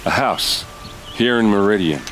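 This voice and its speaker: An actor playing a gruff, rough-edged guard captain in grief, talking informally in a fantasy game. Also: male voice, fairly low pitch, lively intonation, unhurried, a bit bright, soft background music. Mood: troubled.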